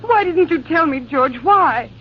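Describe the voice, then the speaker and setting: A woman's voice: very high voice, animated, a little slow. An actress playing a devoted, desperate young woman, imploring and melodramatic in 1940s radio style. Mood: pleading, desperate. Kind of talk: 1940s radio drama acting. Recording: muffled, noisy old radio broadcast.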